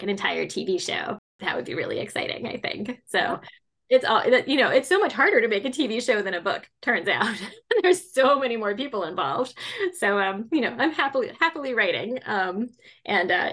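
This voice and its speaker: A woman's voice: mid-range voice, lively intonation, brisk, gravelly, fairly bright tone, slightly rough. A woman in her forties, a children's fantasy author, answering interview questions in a chatty way with many fillers and frequent laughter. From grew up mostly in Latin America.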